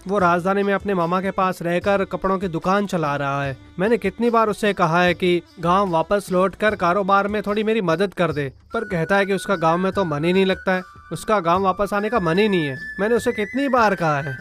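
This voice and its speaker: A man's voice: high-pitched, a little fast, hardly any pauses, fairly bright tone, soft background music. A man playing a grateful, modest middle-aged merchant in a moral-story cartoon. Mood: resigned.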